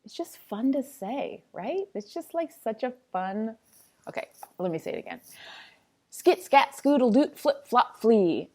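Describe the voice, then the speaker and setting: Slow-paced, playful woman reading aloud. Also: a little high, mellow. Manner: sing-songy, playful, dramatic delivery. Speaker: teacher reading a picture book aloud. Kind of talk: expressive read-aloud with chatty asides.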